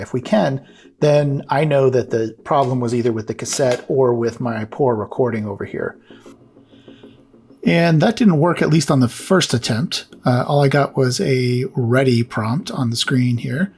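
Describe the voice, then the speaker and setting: A man's voice: medium pitch, darkish tone. Man giving a casual hands-on tech demo. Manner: explanatory, step by step. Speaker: host of a retro computing repair channel.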